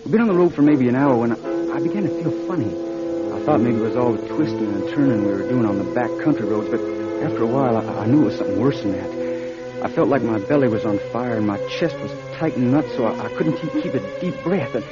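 An actor playing a young drifting country singer in a radio drama, plainspoken, folksy and halting. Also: male voice, medium pitch, lively intonation, close to an everyday voice. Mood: reflective narrating. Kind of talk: radio drama acting with first-person narration. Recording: slightly dull radio recording. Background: music.

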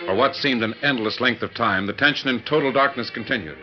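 A man's voice: medium pitch, a little fast. Old time radio announcer guy telling a western dramatically, music under him, muffled sound. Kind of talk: dramatic scripted 1940s radio narration. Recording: dull vintage radio recording.

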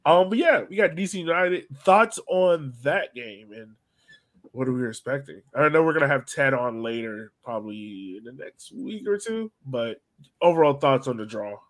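A man with a mid-pitched voice hosts a casual sports podcast. He talks like a fan among friends, working out his thoughts aloud. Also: lively intonation, normal speed, a bit bright.

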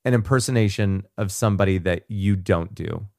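Man, fairly deep voice, tipsy, faint slur, casual sweary podcast chat, close dry sound. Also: fairly quick, vocal fry, a little mellow, no echo. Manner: slightly slurred speech; profane. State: tipsy on whiskey. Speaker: podcast co-host. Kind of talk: casual banter.

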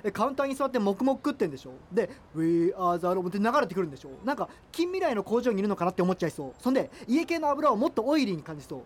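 An actor playing an opinionated, nitpicking high-school boy who rambles fussily, in a naturalistic radio drama with noisy ambience. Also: big pitch swings, very fast, few pauses. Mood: animated musing. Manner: rambling, fussy, complaining banter. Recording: clearly noisy ambient background.

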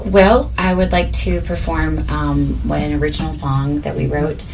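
A young woman from Boston, a musician guest chatting in an interview, laughing often and filling pauses with um. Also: sits low, steady tone, gravelly, distant mic, noisy background. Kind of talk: casual interview chat.

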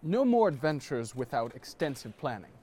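A man's voice: medium pitch, big pitch swings, normal speed, dark tone. A man playing a pompous, fussy swordsman in a fantasy audio drama, speaking in a formal way. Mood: grandiose.

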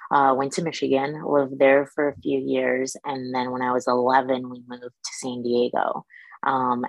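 A young woman from Iraq, a dental student, answering interview questions in a measured, thoughtful way with many pauses. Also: very deep voice, gravelly, no room sound, low-quality recording.

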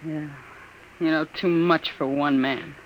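A woman's voice: sits low, steady tone, slow pace, poor audio. A woman playing an anxious, wary gangster's moll in an old-time radio drama, hesitant and fearful, on a vintage recording. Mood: reluctant, pleading. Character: jittery, fearful female moll, torn and wary.

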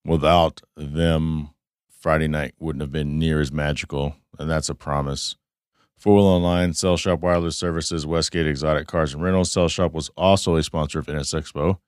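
A man hosting a casual podcast, hoarse and losing his voice, talking in a loose, rambling way with some swearing. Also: rumbling low, fairly even intonation, moderate pace, no echo, clean studio sound. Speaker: podcast host. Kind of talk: casual chat. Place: studio.

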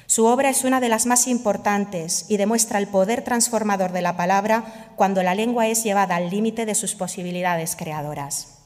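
A woman's voice: medium pitch, a little mellow. A woman gives a formal, ceremonial reading of an official document. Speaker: official reading the award jury's minutes. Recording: long echoing reverb of large hall.

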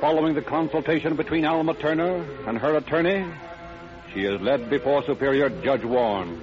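A man's voice: a little high, fairly quick. A man with an American accent narrating and announcing a 1930s radio show in a polished, dramatic delivery. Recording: dull 1930s radio recording, music behind.

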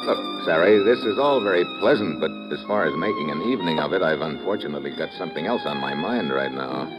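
A man's voice: rumbling low, animated, quick pace. An actor playing a terse, sardonic, world-weary male agent in 1950s radio drama style. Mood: wry. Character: hard-boiled, world-weary American male agent, curt and wry. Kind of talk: 1950s radio drama acting and first-person narration. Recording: dull old radio recording with music.

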